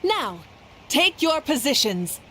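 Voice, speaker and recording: Inspiring lady leader in a game, high bright voice, rallying speech. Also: very animated, takes it slowly, a little hiss or hum, heavily acted. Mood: rousing, proud. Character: commanding, inspiring female leader opening a tournament. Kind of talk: rousing ceremonial speech in video game acting.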